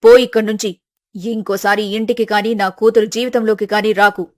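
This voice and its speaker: Protective middle-aged mother, played by a woman, storybook drama, commanding and emphatic, pitched well under the performer's own voice. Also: flat delivery, moderate pace, no room sound, clean studio sound, natural voice. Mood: fierce scolding. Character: angry protective mother, middle-aged, fierce yet loving.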